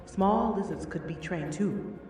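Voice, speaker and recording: A man's voice: sits high, a little slow, darkish tone. Man voicing proud protective guide, formal, very echoey, slightly distant, faint music, comic dub. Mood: matter-of-fact.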